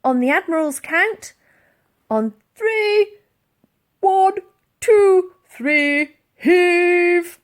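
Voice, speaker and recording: A woman's voice: very high-pitched, lively intonation, extremely slow, long pauses, voice pushed up, exaggerated voice. A woman voicing a pompous old male admiral in a children's storybook, declaiming commands. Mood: commanding, indignant.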